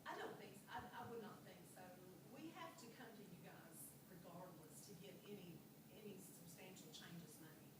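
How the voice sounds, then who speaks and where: A woman with a big, slightly low voice talks earnestly and folksily to a planning board. She is in a public meeting room, with faint noise under the recording. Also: animated, normal speed, hardly any pauses.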